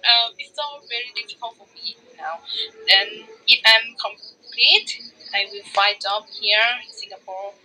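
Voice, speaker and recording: A young Thai woman, an MBA student, answering questions on an online video call in hesitant, broken English with many pauses. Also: medium pitch, steady tone, bright, forceful tone, a little hiss or hum, rough recording. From Thailand. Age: young adult. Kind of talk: casual video chat in halting English. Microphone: online video chat.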